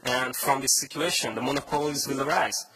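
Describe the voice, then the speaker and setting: A man's voice: medium pitch, a little fast, creaky, bright, forceful tone, a little hiss or hum, low-quality recording. A man with a Hungarian accent giving a conference talk, reading a prepared speech with many hesitations and restarts. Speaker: conference speaker.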